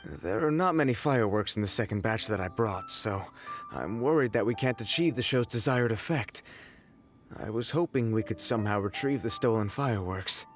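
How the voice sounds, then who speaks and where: A man's voice: lowish voice, lively intonation, moderate pace, faint music behind, lightly acted. A man voicing a polite, earnest fireworks merchant in a video game, speaking deferentially. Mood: worried. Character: polite, worried fireworks supplier, an ordinary townsman. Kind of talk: English video game dub acting.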